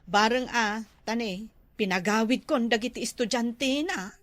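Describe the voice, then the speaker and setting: A woman's voice: on the high side, measured pace, a bit bright, dry recording. A woman acting a gossipy, teasing village friend in an Ilocano comedy drama, with an Ilocano Filipino accent. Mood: conversational.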